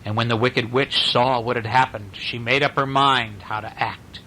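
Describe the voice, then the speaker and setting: A man narrating a bedtime story in an animated, informal way, as if to a listener close by. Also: medium pitch, moderate pace, a little hiss or hum, poor audio.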